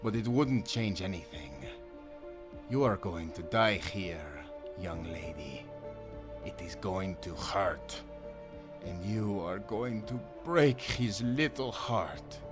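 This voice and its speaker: A man's voice: deep voice, slow pace, over music. A man playing a cruel, gloating exiled prince in an audio drama, speaking in a mocking, theatrical, menacing way. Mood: gloating menace. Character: cruel, gloating exiled prince, scheming villain taunting a captive. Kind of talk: scripted audio roleplay drama.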